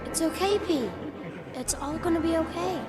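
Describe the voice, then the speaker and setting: High, earnest boy hero. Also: normal speed. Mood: earnest, resolute. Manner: earnest, plain-spoken, boyish. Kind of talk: fantasy game voice acting. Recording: loud music behind the voice.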